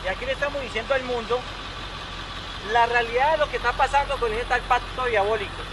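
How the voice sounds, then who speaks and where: High-voiced man at a street protest. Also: fairly quick, background noise. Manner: emphatic, listing accusations. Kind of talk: street interview.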